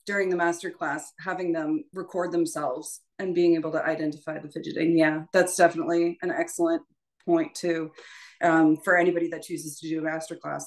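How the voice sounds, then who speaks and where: This is a woman with a slightly low-pitched voice, speaking as a voice teacher in an informal lesson. She explains in a conversational, halting way, often pausing to rephrase. Her manner is encouraging and affirming. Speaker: voice teacher leading an audition prep session.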